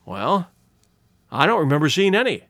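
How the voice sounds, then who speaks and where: A man voicing a detective's loyal, plain-spoken companion in an audiobook story, answering in a measured, puzzled way. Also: fairly high pitch, big pitch swings, a bit bright, dry recording, clean studio sound. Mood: hesitant.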